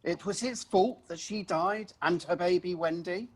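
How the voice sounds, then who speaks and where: A man playing an emphatic, husky family father. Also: high voice, measured pace. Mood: bitter. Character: bitter, unforgiving father of the family. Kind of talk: amateur radio play acting.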